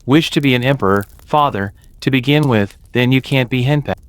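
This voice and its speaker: A flippant grown son played by a man in a dramatised audio story. He teases with playful mockery in a smooth, clear voice of medium pitch. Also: a little fast, no room sound, lightly acted. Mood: teasing. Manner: playfully mocking.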